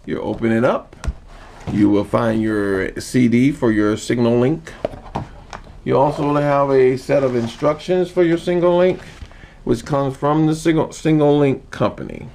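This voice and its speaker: A man giving an informal how-to demonstration, explaining step by step in a halting manner. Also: mid-range voice, vocal fry, darkish tone, a little hiss or hum. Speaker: hobbyist demonstrator. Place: indoor room.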